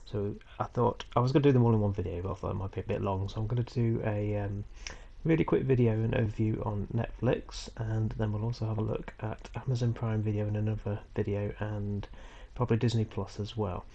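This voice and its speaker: A man with a head cold walking viewers through a screen-recorded tutorial at home in a relaxed, rambling way, with frequent ums and small self-corrections. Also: lowish voice, fairly quick, creaky, hardly any pauses, muffled recording, slight background noise. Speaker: tutorial host. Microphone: computer mic for a screen recording.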